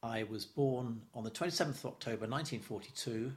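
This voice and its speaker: A man's voice: mid-range voice, fast. A man in his seventies from London, giving an oral history interview, reflective and stop-start, repeating words and chuckling as he remembers. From London, England. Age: 70s.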